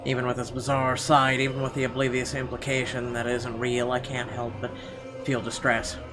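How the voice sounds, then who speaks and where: A man's voice: mid-range voice, completely flat delivery, a little fast. A man hosting a gaming video, reading visual novel narration dramatically and breaking into irreverent, sweary asides. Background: game music.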